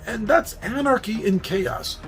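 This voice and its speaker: A man with a New York accent hosting a casual monologue about board games, chatty and quick to laugh at his own slips. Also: high-pitched, soft background music. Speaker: host.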